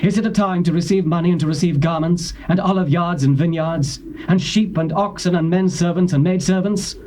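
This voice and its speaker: An English actor playing a stern, righteous older prophet, speaking in a grave, measured way. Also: male voice, high voice, dark tone, a little hiss or hum, natural voice. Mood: rebuking.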